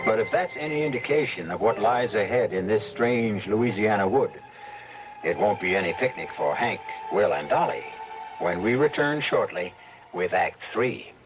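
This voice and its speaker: Man as a deep, wry radio suspense narrator. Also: lively intonation, lightly acted. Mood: wry, ominous. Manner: measured, theatrical, wry. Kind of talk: old-time radio scripted narration. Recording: dull old radio recording. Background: dramatic music.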